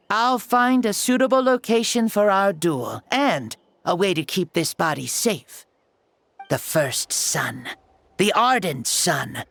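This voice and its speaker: A woman voicing a proud, battle-eager ancient warrior god in a child's body, speaking in a formal, declamatory way for a video game. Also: medium pitch. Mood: solemn, challenging. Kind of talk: dramatic video game voice acting. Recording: background music.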